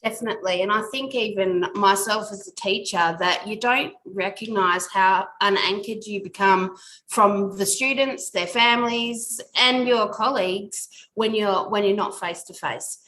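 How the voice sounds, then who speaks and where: A woman's voice: mid-range voice, a little slow, pushed and bright, slight background noise, low-quality recording. Earnest middle-aged woman reflecting. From Australia. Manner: reflective, earnest, speaks in long winding sentences. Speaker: podcast guest, workplace culture consultant. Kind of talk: casual interview talk.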